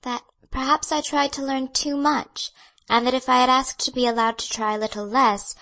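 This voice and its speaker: A man reading aloud and voicing a timid, earnest young woman who speaks softly and humbly. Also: very high-pitched, level tone, moderate pace, gravelly, pitched above the performer's own voice, exaggerated voice. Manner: soft, humble, deferential.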